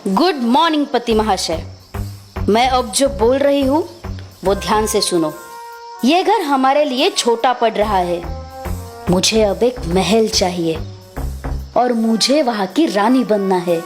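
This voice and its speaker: A woman's voice: on the high side, animated, takes it slowly, pauses often, darkish tone, soft background music. A woman voicing a greedy, discontented fisherman's wife in a children's cartoon, demanding and melodramatic. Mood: bossy.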